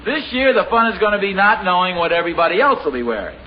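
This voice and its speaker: A genial, slightly bumbling suburban father in a 1950s radio comedy. He talks in a high, bouncy voice, often chuckling. The broadcast sounds dull and scratchy, with faint hiss. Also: lively intonation, brisk. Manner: chuckling, amiable, a little bumbling. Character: genial, easily flustered suburban dad. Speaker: father and husband in a radio sitcom. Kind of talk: 1950s radio sitcom acting.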